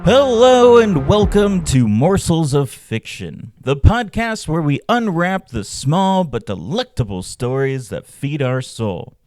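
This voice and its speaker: A man hosting a podcast, reading scripted narration and stories in a measured, dramatic way. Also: a little high, very animated, darkish tone. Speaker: podcast host and storyteller. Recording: roomy echo, faint music behind. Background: faint background music.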